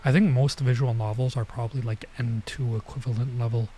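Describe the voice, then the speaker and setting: A man streaming a visual novel, talking in a mellow, relaxed voice at a medium pitch. His commentary is informal and halting, often drifting into muttering as he works out lines and reads them aloud. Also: slight background noise. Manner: informal, halting, mutters to himself while translating. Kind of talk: casual let's play commentary, reading dialogue aloud.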